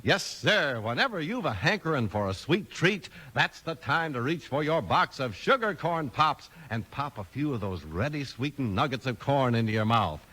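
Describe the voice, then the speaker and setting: A man's voice: medium pitch, big pitch swings, normal speed, hardly any pauses, pushed and bright, slightly rough. A man announcing and narrating in a hearty, emphatic, polished 1950s radio style. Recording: vintage 1950s radio broadcast. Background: music.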